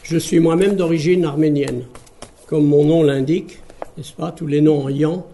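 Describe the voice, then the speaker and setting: Man, from france, public speaker, measured and reflective, warm mellow tone. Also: a little high, fairly even intonation, a little hiss or hum. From France, Paris suburbs. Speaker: speaker giving an evening talk. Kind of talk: public talk on bilingual education.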